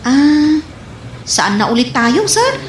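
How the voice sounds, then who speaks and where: Actress as high-pitched, boastful Ilocano wife. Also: female voice, big pitch swings, takes it slowly, heavily acted. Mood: eager, polite. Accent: Ilocano, from the Philippines. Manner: breezy, dismissive of warnings. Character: boastful, trusting married woman showing off savings. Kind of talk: Ilocano comedy radio drama acting. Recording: echoey room, faint background noise.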